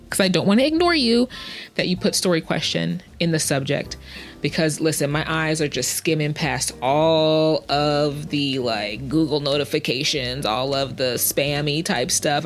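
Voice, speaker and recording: A woman hosting a casual solo podcast, chatting in a playful, slangy way, often interrupting herself and repeating words for emphasis. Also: deep voice. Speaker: podcast host, writing coach. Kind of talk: casual, chatty solo podcast answering listener-style questions.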